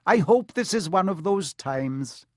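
A man's voice: high voice, expressive, a little slow, no echo, clean studio sound, pitched above the performer's own voice. A man voicing a grave, mystical male centaur with a solemn, portentous manner in an audiobook. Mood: solemn farewell. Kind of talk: audiobook character voice.

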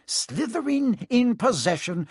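A man's voice: very high-pitched, sing-song, a little slow, slightly rough, no echo, studio quality, pitched above the performer's own voice, heavily acted. A man voicing a cheeky, biased schoolboy sports commentator in an audiobook, breathless and sardonic. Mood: excited.

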